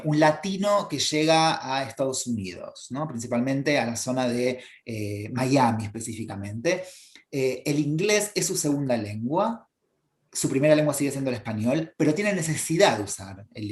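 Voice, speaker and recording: Argentine man explaining, echoey room. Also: medium pitch. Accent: Argentine Spanish. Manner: halting, many fillers and restarts, lecturing. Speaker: guest, language teaching expert. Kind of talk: explanatory interview talk. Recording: roomy echo.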